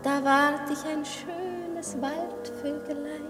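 High, eerie girlish fairy-tale voice. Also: fairly even intonation, slow. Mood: eerie, lilting. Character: eerie girlish singer-reciter of a macabre fairy-tale rhyme. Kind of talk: radio drama verse recital. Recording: music behind the voice.

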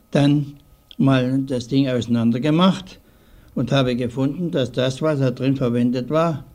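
An older man giving recollections in an interview, speaking haltingly and deliberately with old-fashioned formal phrasing. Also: mid-range voice, a little mellow. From southwestern Germany. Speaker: interviewee, early radio hobbyist. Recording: 1950s archival radio recording.

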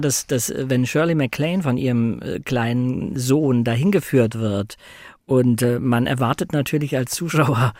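Man, medium pitch, dry close sound. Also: no room sound. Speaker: guest on a film discussion podcast. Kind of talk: casual conversational discussion.

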